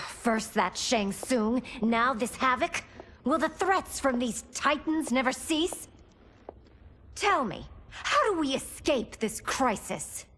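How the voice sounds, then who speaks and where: A woman's voice: high voice, big pitch swings, slow, fairly bright tone, slight background noise, exaggerated voice. A woman playing a regal, imperious empress in a video game cutscene, speaking formally. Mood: exasperated, worried. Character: regal, exasperated empress commanding legions.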